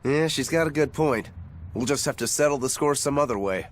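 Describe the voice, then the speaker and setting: A man's voice: medium pitch, brisk, lightly acted. A man playing a casual, competitive, easygoing fighter in a video game. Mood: keen, easygoing.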